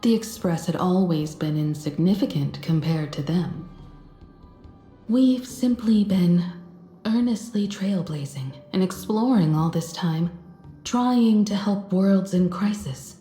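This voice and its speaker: An actress playing a poised, diplomatic space traveler in a video game, speaking politely and deliberately with earnest self-assurance. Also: female voice, fairly low pitch, lively intonation, warm tone, roomy sound, soft background music. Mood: earnest, persuasive. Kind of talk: scripted video game character dialogue.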